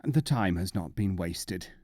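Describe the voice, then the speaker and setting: A man's voice: low-pitched, lively intonation, a little slow, warm tone, dry recording, clean studio sound. A man voicing an earnest, self-important scholar seeking wisdom in a storybook, pompous and judging. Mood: disdainful.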